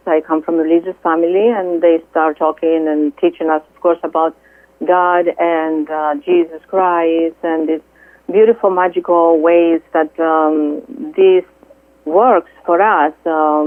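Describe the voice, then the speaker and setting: A middle-aged woman from Greece with a Greek accent, a guest speaking earnestly and haltingly, with many fillers, over the phone. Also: on the low side, dry recording. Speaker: guest, Reiki healer. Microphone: telephone. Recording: tinny dry phone line.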